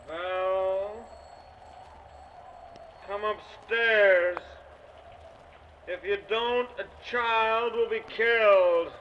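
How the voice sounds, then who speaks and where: An actor playing a mocking, cackling, murderous ghost in an old-time radio horror drama. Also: male voice, high voice, steady tone. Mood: eerie menace. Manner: slow, drawn-out, mocking, cackling. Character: taunting murderous ghost luring a man upstairs, gleefully cruel. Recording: muffled, noisy old radio drama recording.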